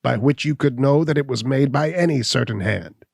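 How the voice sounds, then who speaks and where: A man voicing a snarling, defiant hunchback who shouts and sneers in a raised, strained voice. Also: mid-range voice, moderate pace, creaky, slightly rough, no room sound, studio quality, voice pushed up.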